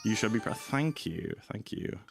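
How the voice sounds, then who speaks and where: A young man with a deep voice, streaming and chatting easily with viewers in a rambling, relaxed way. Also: normal speed, gravelly. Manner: rambling, easygoing, full of "like". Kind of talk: casual chat while gaming, answering chat. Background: music.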